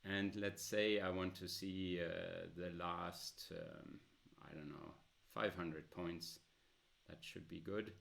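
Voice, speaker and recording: A man with a loud voice presenting a smartphone app in a casual demo, with many filler pauses. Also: low voice, steady tone, vocal fry.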